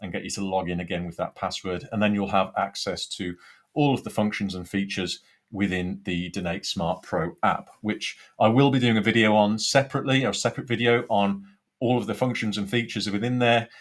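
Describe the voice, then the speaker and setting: A man giving an informal software tutorial as a product demonstrator, explaining things step by step. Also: on the low side, fairly even intonation, fairly quick.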